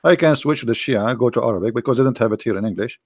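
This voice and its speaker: A man's voice: mid-range voice, rapid-fire, no room sound, clean studio sound. A man hosting a live call-in show with a Middle Eastern accent, arguing insistently with rhetorical questions while losing his voice. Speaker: show host. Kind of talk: argumentative live call-in talk.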